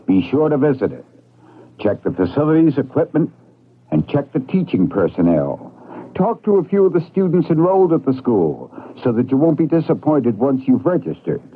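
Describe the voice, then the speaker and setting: A man's voice: mid-range voice, breathy edge. A man giving a scripted advisory public service announcement on radio. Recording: narrow, tinny old radio broadcast.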